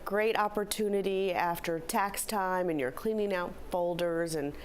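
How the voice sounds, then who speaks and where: A middle-aged woman, a philanthropy director, slightly hoarse, giving upbeat, chatty announcements in a studio interview. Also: fairly low pitch, moderate pace. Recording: faint background noise.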